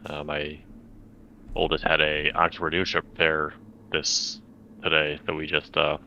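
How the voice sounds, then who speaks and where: A man's voice: very deep voice, completely flat delivery, measured pace, creaky, bright, forceful tone. A man chatting casually as a player on a gaming podcast. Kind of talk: casual chat. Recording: dull, noisy home recording.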